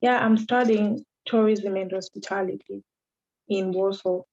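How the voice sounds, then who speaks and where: A young woman in her twenties speaking in a flat, even tone on a video call. Her audio sounds slightly muffled. She is from Zimbabwe. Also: mid-range voice, unhurried. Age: 20s. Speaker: student panelist. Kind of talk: casual chat.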